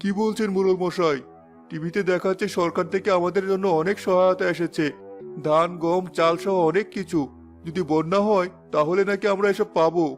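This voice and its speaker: Cartoon casting: male actor as distrustful poor villager, high male voice, challenging, faint music underneath. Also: fairly even intonation, fairly quick, close to an everyday voice. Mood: questioning. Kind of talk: cartoon voice acting.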